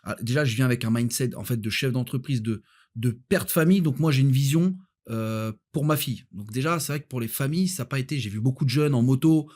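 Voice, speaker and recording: A man with an average-pitched voice talking rapidly as a guest in a casual podcast conversation. He is blunt and colloquial, and tends to repeat his words as he explains his view as a property adviser. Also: a little mellow. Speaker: guest, Dubai-based real estate adviser.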